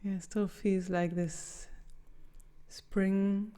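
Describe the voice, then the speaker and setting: A woman leading a guided meditation in a gentle, soothing, unhurried manner with long pauses. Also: mid-range voice, flat delivery, clear, resonant tone.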